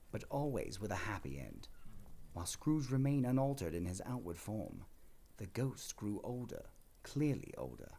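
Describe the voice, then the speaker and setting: A man narrating a classic tale from a script in a measured, theatrical storytelling way. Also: on the low side, gravelly, warm tone, a little hiss or hum.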